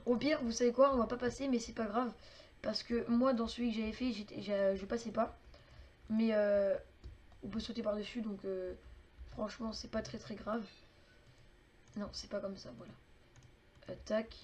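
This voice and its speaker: A woman walks through a game build in a loose, casual way. Her voice sits a little high, and she keeps filling pauses with fillers and small clicking noises as she works. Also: darkish tone. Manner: chatty, many fillers and clicking sounds. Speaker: game tutorial maker. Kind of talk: casual game tutorial narration.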